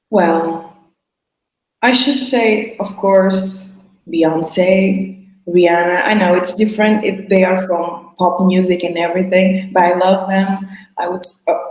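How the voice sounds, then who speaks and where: A woman's voice: on the low side, fairly even intonation, a little mellow. Woman chatting in english, keeps restarting her sentences, echoey room. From Portugal. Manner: halting, restarts phrases, laughs between answers. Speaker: singer, interview guest. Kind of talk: casual interview answers.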